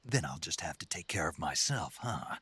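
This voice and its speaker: A man voicing a laconic special forces soldier with a husky voice and a dry, sardonic wit in a game. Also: a bass voice, big pitch swings, moderate pace, pushed and bright, no echo, heavily acted. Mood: wry. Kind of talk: game dialogue.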